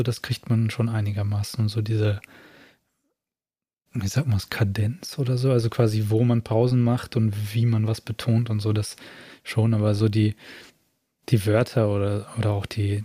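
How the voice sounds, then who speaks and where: A man chatting casually as host, thoughtful and often pausing to search for words. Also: on the low side, little pitch movement, warm tone, dry recording. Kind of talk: casual chat.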